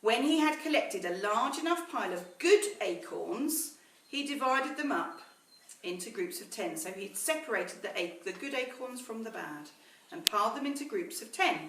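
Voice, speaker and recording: Slow, animated woman reading a storybook aloud. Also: fairly high pitch. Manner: teacherly, pausing to explain words and ask questions. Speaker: head teacher reading a story to children. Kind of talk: expressive storybook read-aloud with explanatory asides to children. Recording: roomy echo, distant mic, faint noise.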